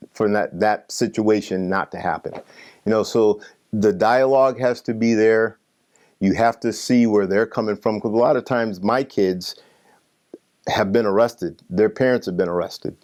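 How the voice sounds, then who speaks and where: A man's voice: lowish voice, a little mellow. A man talking reflectively in an interview, earnest, repeating himself for emphasis.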